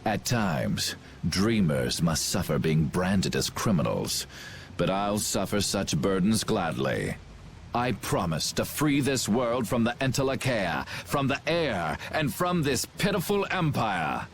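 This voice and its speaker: A deep, pompous villain commander. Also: male voice, big pitch swings, measured pace, slight background noise. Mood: grandiose, fervent. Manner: pompous, formal, theatrical. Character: grandiose male villain commander, cold would-be ruler. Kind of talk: video game cutscene acting.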